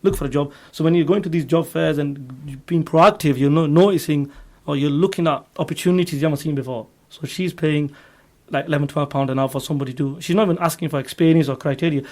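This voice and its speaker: Male recruiter for a radio interview; very quick, earnest explainer, pitched a little high. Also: a little mellow. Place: radio studio.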